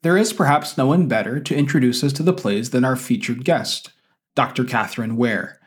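A man hosting a podcast with measured, articulate phrasing, in the style of an educator presenting and interviewing. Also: medium pitch. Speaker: podcast host; university teacher. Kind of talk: scripted podcast introduction, then conversational interviewing.

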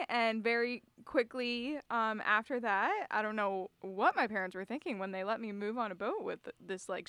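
A young woman with a fairly high voice, recorded dry and close. She talks in a lively, playful way and keeps breaking into laughter. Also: clean tone, dry recording. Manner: animated, teasing, laughs often. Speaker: guest. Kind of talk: casual storytelling.

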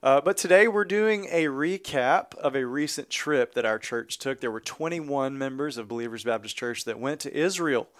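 A man's voice: mid-range voice, animated, normal speed, few pauses, no echo. A man hosting a church podcast in an easygoing, folksy way with some light teasing. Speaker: podcast host.

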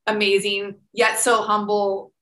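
A woman hosting a casual podcast interview, her voice bright and forceful. She gushes with enthusiasm and keeps filling her talk with "like", and the sound comes back off an echoey room. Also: medium pitch, monotone, measured pace. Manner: gushing, enthusiastic, heavy use of "like" and "literally". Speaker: podcast host.

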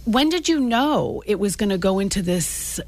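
A woman's voice: medium pitch, expressive, moderate pace, darkish tone. A woman hosting an interview podcast, asking questions in a friendly, conversational way with short affirmations. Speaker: podcast host.

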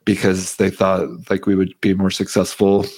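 A man's voice: low-pitched, monotone, normal speed, darkish tone. A man hosting a casual chat, rambling and joking with lots of swearing. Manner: rambling, profane, jokey.

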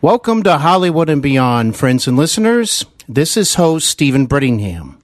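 Higher-voiced, animated male podcast host. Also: moderate pace, dry recording, faint music behind. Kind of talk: scripted introduction and interview hosting.

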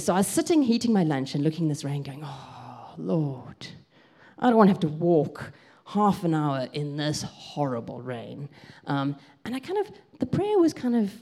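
South African woman, lively preacher. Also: fairly low pitch. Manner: lively storytelling, gets excited, lots of ums. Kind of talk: sermon with a personal story.

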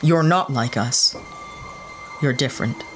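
A woman's voice: rumbling low, unhurried, slight background noise. A woman playing an irritable, sarcastic, distrustful spaceship owner in a sci-fi audio drama, with a blunt, snappish way of talking. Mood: angry, accusing.

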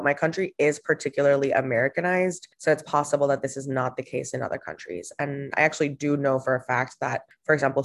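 Chatty young woman from Honduras. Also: extremely low pitch. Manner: chatty, with frequent fillers and restarts. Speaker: guest. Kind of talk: casual interview answers.

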